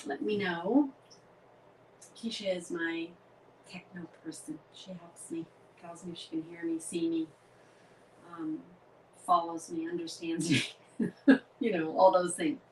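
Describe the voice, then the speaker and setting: Middle-aged woman, head cold, chatty live-stream craft host, lowish voice, faint hiss behind. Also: slow pace, pauses often, poor audio. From Canada. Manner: chatty, greets viewers by name, upbeat.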